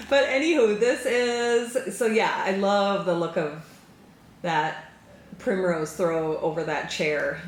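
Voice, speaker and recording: Woman, knitting podcast host, chatty and excitable, lowish voice, echoey room, faint hiss. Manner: chatty, excitable, rambling with fillers. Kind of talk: casual chat to camera.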